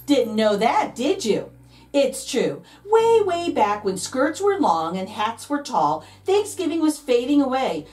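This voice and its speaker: A woman's voice: high voice, very animated, a little slow, darkish tone, recorded from a distance, faint music behind. A woman reading a picture book aloud to children, dramatic and playful, drawing out key words for emphasis. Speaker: read-aloud host, storyteller.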